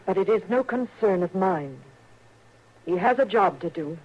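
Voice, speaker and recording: Bitter, proud matron for radio western. Curt, formal delivery, dull vintage radio sound. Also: female voice, mid-range voice, expressive, moderate pace, breathy edge. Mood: curt, dismissive. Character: Mrs. Henshaw, cold, proud, bitter frontier matron. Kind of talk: old-time radio western acting. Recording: dull, noisy old radio recording.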